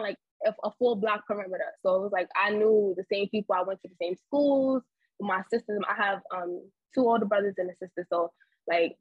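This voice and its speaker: Young new girl, husky, lots of likes and ums, dry muffled mic. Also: medium pitch, dry recording. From Harlem, New York. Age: 20s. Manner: laid-back, rambling, lots of "like" and "um". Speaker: podcast guest. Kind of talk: casual interview chat.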